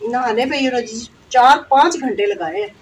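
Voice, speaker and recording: A woman talks warmly as she cooks outside and explains each step. Her voice sits a little high. Noise from the open yard comes through the camera mic. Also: moderate pace, poor audio. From Pakistan. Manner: chatty, peppers speech with "ji", step-by-step explaining. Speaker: vlogger cooking. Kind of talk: casual cooking vlog narration. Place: outdoor village rooftop courtyard. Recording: outdoor camera audio with noise.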